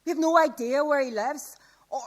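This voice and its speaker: Actress as an anxious, high-voiced woman. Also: normal speed, close to an everyday voice. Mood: exasperated. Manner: exasperated, pleading. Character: anxious, scolding young woman sheltering in a polluted city. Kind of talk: radio drama acting.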